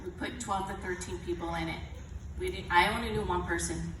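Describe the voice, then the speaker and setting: Woman with a big loud voice, earnest and rambling, far off in an echoey noisy hall. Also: on the low side, fairly even intonation, a little fast. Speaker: audience member asking a question. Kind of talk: emotional personal testimony. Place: large event hall.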